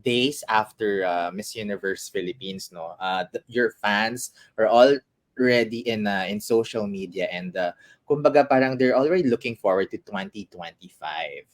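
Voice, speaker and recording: A man's voice: fairly low pitch, normal speed. A male interviewer asking questions hesitantly, with many fillers, mixing Filipino and English.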